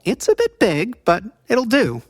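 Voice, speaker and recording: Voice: mid-range voice, a little slow, exaggerated voice. An actor playing a dry, capable government agent who teases a detective partner in an audio drama. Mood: teasing. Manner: dry, deadpan teasing.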